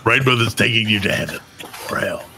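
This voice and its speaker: Bright-voiced man joking on a podcast. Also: lowish voice, brisk, vocal fry, a little hiss or hum. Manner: joking, self-mocking, reads stories aloud. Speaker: podcast co-host reading news bits. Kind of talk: casual comedic chat over video call. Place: dim room at home.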